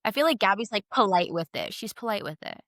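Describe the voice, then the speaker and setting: A woman's voice: medium pitch, animated, quick pace, fairly bright tone, no echo, clean studio sound. A woman co-hosting a podcast, asking questions and chatting in an informal, conversational way. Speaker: podcast co-host. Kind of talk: casual interview chat.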